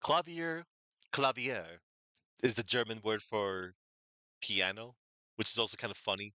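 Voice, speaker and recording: A man's voice: on the low side, measured pace, pushed and bright, no room sound. A man chatting casually while streaming a game, rambling and hesitant with lots of "like", English not his first language. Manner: rambling, hesitant, many "like"s, laughs at himself. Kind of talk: casual live-stream commentary.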